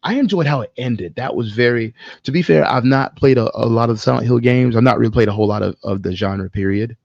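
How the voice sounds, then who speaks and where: Male co-host, creaky lowish voice, informal opinionated banter with casual swearing, dry and slightly lo-fi. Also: fast, darkish tone, no echo. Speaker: podcast co-host. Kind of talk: casual chat about movies and games.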